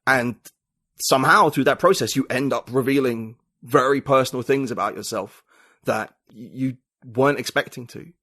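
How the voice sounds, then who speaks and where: A British man, a writer and podcast guest, talking in a reflective, halting way with many restarts. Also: medium pitch, bright, forceful tone, breathy edge, dry recording, clean studio sound. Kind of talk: casual conversation.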